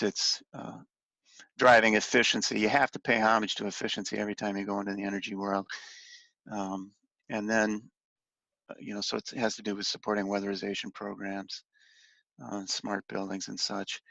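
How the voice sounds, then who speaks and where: A man giving an informal slide briefing as a policy advocate on a conference call, speaking haltingly and letting phrases trail off. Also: fairly low pitch, gravelly, bright, forceful tone. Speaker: industry association policy advocate briefing on legislation. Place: conference call, roomy indoor space. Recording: muffled, roomy conference-call audio.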